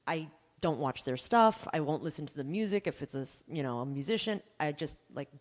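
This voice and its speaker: A woman's voice: deep voice, expressive, brisk. A woman co-hosting a talk podcast in casual, candid, very informal conversation. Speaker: podcast co-host. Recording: long reverberant echo, hall-like room.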